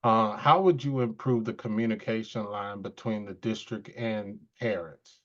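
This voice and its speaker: A man interviewing a candidate in a relaxed, conversational way. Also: on the low side, moderate pace. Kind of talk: casual interview questions. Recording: dull lo-fi sound.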